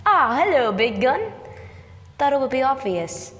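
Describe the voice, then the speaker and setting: An actress playing a no-nonsense, sarcastic Time Lord agent with a dry, brisk manner in a science-fiction audio drama. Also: female voice, high voice, very animated, fairly bright tone, exaggerated voice. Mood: confident. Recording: long reverb with music behind.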